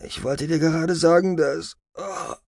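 A man's voice: a little high, fairly even intonation, measured pace, vocal fry, darkish tone, no echo, clean studio sound, pitched above the performer's own voice. A man voicing an anxious, bewildered sister who pleads in alarm with her brother. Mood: astonished.

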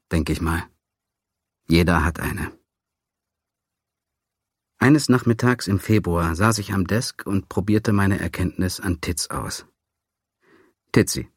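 A man's voice: low-pitched, breathy edge, no room sound. A man narrating a memoir in a dry, sardonic, crude manner.

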